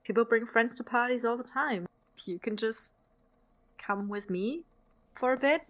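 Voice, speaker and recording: A woman's voice: a little high, a little slow, a bit bright. A woman playing a playful, outgoing college student in an audio drama, teasing and coaxing as she talks. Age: young adult. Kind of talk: naturalistic audio drama acting.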